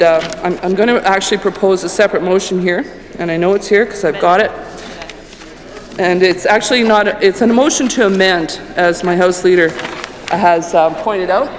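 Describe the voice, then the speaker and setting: A woman speaks formally as an opposition critic, deliberate and sometimes hesitant. A long reverb from a legislative chamber hangs on her words. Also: mid-range voice. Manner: deliberate, with fillers and restarts. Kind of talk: formal legislative speech, moving a motion.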